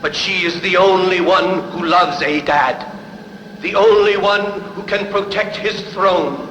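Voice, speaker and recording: A solemn oracle priest delivering a god's prophecy. His high, slow, declamatory voice rings out in a long echoing reverb. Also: bright, forceful tone. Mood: solemn, prophetic. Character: oracle priest speaking for a great god. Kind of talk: dubbed historical epic film acting. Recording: echoing hall reverb, faint noise.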